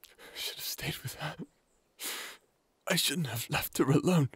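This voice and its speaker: A man voicing a grieving young man, speaking in a hushed, halting way. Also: on the high side, husky, no room sound, heavily acted. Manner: hushed, halting and grief-stricken.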